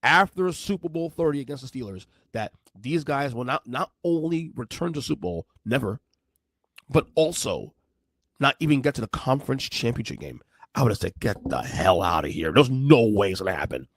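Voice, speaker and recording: A man's voice: medium pitch, sing-song, normal speed, slightly rough, no echo. Rambling, emphatic man in his forties. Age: 40s. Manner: rambling, emphatic, repeats himself, curses. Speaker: podcast host. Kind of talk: casual commentary on video clips.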